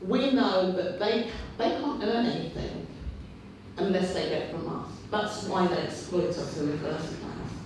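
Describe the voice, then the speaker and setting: A man with a big, loud voice giving an impassioned union rally speech, repeating phrases to build his point. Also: sits high, unhurried, far from the mic, background noise. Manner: impassioned, repetitive rhetorical buildup. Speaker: trade union speaker. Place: meeting hall. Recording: very echoey hall, picked up from afar.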